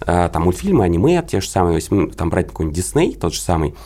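A man's voice: low voice, big pitch swings, fast talker, dark tone. A man in a casual interview, explaining things in a rambling, hedging way. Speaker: guest, creative partner in an illustration and games project.

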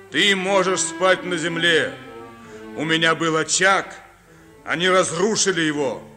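A wronged outcast played by a man speaks with bitter sorrow in a fairly high voice. The delivery is solemn and declamatory, ringing in long reverb over music in a radio play. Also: close to an everyday voice. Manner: declamatory, measured, mournful. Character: bitter, wronged outcast beggar condemned to hang. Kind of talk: solemn theatrical radio monologue.

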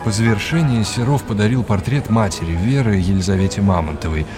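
A male actor as a courteous art historian in an audio drama, with a low voice. He explains things like a teacher and likes to quiz his listener. Music plays clearly behind him. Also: a little fast, natural voice. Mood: engaged, explanatory.

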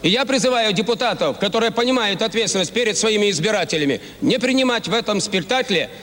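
Male deputy, markedly high voice, political speech with long hall reverberation, archive sound. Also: animated, fast, fairly bright tone. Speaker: deputy speaking at a congress. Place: large assembly hall. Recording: echoing congress hall, archive audio.

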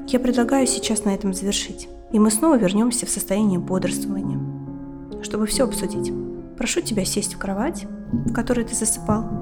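A woman psychotherapist speaking in a measured, instructive way as she guides and explains. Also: mid-range voice. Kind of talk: guided therapy session and explanation. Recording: music behind the voice.

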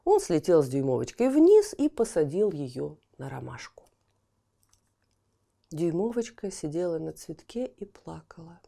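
A woman reading a fairy tale aloud for children, with a warm, very dark and mellow voice that sits fairly low. Her telling is gentle and expressive, and she often sinks to a soft, breathy whisper. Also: measured pace. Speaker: storyteller. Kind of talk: children's audiobook narration.

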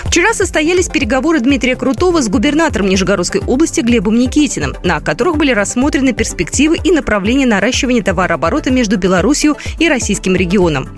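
Lively woman news presenter over music. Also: fairly high pitch, fast, hardly any pauses. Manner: formal. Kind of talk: scripted newscast reading. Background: music bed.

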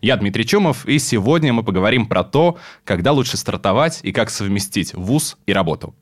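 A man's voice: on the low side, lively intonation, fairly quick. A man hosting a podcast, asking young guests friendly questions in a conversational way. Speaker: podcast host.

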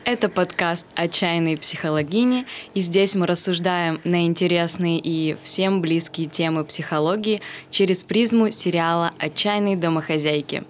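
Podcast host, woman, early twenties, lowish voice, upbeat intro reader, faint noise underneath. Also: moderate pace, hardly any pauses. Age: early 20s. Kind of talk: scripted podcast intro, then casual discussion.

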